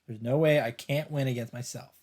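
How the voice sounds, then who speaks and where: A man's voice: mid-range voice, steady tone, fairly quick, darkish tone. A man hosting a let's play, reading visual novel narration aloud. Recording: game music faintly behind. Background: game music.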